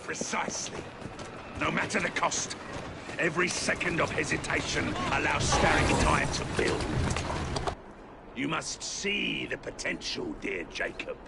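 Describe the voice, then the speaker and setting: An actor playing a theatrical, scheming gentleman in a video game, coaxing in grand phrases over music. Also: on the high side, expressive, a little slow, gravelly, heavy background noise. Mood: conspiratorial, grandiose. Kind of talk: video game cutscene acting. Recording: loud music over dialogue.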